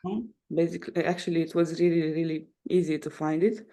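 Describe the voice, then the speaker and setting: A woman's voice: deep voice, steady tone, fairly quick, warm tone. A woman in her twenties speaks with a Romanian accent as a podcast guest. Her delivery is casual and conversational, with a hesitant way of building sentences as she looks for the right words in a second language. Her native language is Romanian. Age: 20s. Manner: hesitant, searching for words in non-native English. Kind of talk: casual interview answers.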